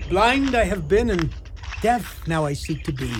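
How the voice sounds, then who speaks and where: A man's voice: high-pitched, lively intonation, measured pace. A man playing a weary, raging old mad king in an amateur radio drama, declaiming theatrically in archaic Shakespearean English. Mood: weary, wistful. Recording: clear storm-like background noise.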